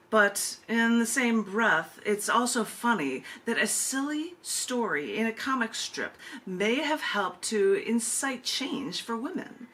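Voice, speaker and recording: A woman hosting a virtual open mic, reading her poems and introducing them casually, with deliberate, emphatic phrasing and drawn-out pauses. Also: medium pitch, bright, forceful tone. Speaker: open mic host and poet. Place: virtual open mic over video call.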